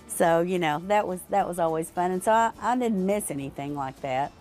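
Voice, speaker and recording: Low-voiced older woman, reflective and halting. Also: a little mellow, soft background music. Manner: reflective, halting with many fillers. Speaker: store owner, interviewee. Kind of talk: reflective interview answers.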